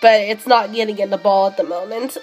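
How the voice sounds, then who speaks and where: A young woman streaming a handheld game, sick and losing her voice, chatting excitably with many fillers. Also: mid-range voice, normal speed, soft background music. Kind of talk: live shiny hunting commentary.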